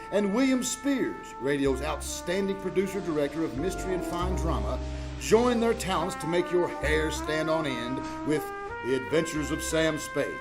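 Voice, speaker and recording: A man announcing in 1940s radio style, delivering a show introduction and sponsor pitch with emphatic, showy salesmanship. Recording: live stage with music.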